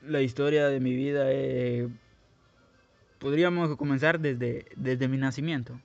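A man's voice: mid-range voice, steady tone, unhurried, vocal fry, dry recording, soft background music. Rambling Guatemalan man, lo-fi. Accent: Guatemalan Spanish. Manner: rambling, hesitant, many restarts and fillers. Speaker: podcast host. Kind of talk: casual personal storytelling.

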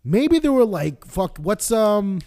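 A man from Florida who co-hosts a podcast in casual chat, with a raspy, hoarse voice from talking too much and a profane, rambling way of telling stories. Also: sits high, very animated, slow, dark tone. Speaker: podcast co-host.

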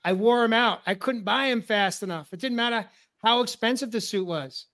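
A man's voice: very high voice, moderate pace, a bit bright. A man in his fifties from the New York area, hosting a men's talk show and sharing a heartfelt personal story with emphatic, repeated phrases. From New York area, USA. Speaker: host.

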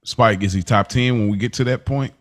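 A man's voice: lowish voice, a little fast. Laid-back man, casual podcast co-host. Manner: laid-back, laughs often, restarts sentences. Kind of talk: casual chat.

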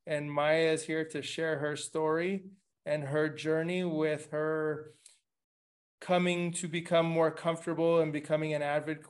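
A middle-aged man from Latin America hosting an interview podcast from his living room over a video call, asking long questions with frequent restarts. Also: a little high. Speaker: podcast host.

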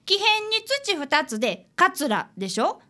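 Bright, high-voiced earnest boy detective played by a woman. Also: a little slow, exaggerated voice. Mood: earnest explaining. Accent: Kansai Japanese. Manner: polite, earnest, animated. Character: earnest fifth-grade boy, eager apprentice detective. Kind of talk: radio drama acting and narration.

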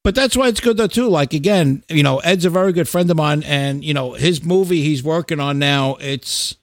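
A man with a fairly high voice hosts a laid-back chat about music. He talks bluntly and informally, cursing freely. The sound is close and dry. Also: normal speed, no room sound. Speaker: podcast host and musician.

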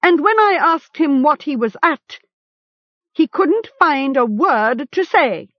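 Woman playing a mocking, accusing chieftain, pitched up. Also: lively intonation, takes it slowly, no echo, highs cut off. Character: Jofor, mocking, accusing male chieftain of captors. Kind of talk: storybook character acting.

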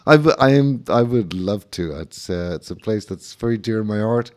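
A man's voice: lowish voice, moderate pace. A middle-aged man hosting a radio interview, teasing his guest with jokes and banter. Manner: teasing, wisecracking. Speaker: radio show host.

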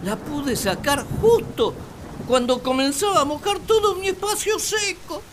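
A woman's voice: high-pitched, unhurried, a little hiss or hum. A woman playing a naive, grumbling first man who loves solitude, with whiny, exaggerated delivery in a radio drama. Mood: annoyed, puzzled. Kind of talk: radio drama monologue and dialogue.

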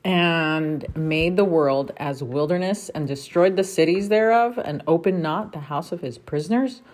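Woman with a low voice reading old scripture out loud, steady and careful, stops to fix a word now and then. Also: a little slow. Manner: steady, careful read-aloud pace with brief self-corrections. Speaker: family member reading scripture.

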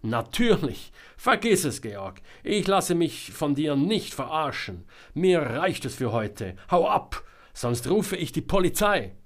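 A man voicing a bold, suspicious woman reporter in a storybook, with forceful, challenging, exasperated demands. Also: fairly high pitch, sing-song, slow, hardly any pauses, pitched above the performer's own voice, full character voice. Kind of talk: dramatic audiobook character voice.

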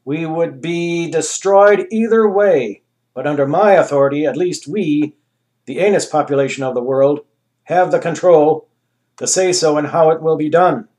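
A man's voice: a little high, a little mellow, pitched above the performer's own voice, natural voice. A man voicing a pompous talking anus who thunders commands like a ruler in a comic story. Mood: grandiose. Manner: grandiose, slow, commanding declarations. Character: pompous talking male anus tyrant, booming.

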